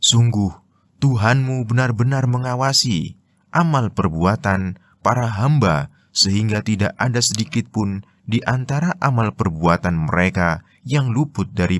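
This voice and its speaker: A man's voice: fairly low pitch, no room sound. A man with an Indonesian accent reading religious commentary as formal scripted narration.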